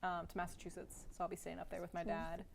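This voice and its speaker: A woman's voice: lowish voice, monotone, quick pace, gravelly, slight background noise. A young woman co-hosting a casual college podcast, upbeat and encouraging in her talk. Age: young adult. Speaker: podcast co-host. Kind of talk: casual college radio chat.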